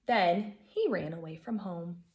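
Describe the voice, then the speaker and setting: An American woman in her thirties, a first grade teacher reading a children's storybook aloud in an expressive, emphatic way. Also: on the low side, unhurried. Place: living room at home, indoors. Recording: echoey room.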